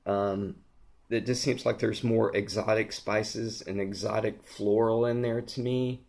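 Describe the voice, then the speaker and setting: Hoarse male vlogger, low and fairly monotone, enthusiastic rambling reviewer full of ums. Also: measured pace. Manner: gushing, rambling, lots of ums and restarts. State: hoarse, losing his voice. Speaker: fragrance reviewer. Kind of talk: casual product review vlog.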